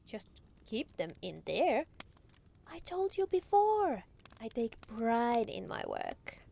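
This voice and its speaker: A woman's voice: fairly high pitch, huge pitch swings, takes it slowly, creaky, mellow, full character voice. A woman playing a proud, teasing demon lord in a roleplay ASMR monologue, casually regal and playfully menacing.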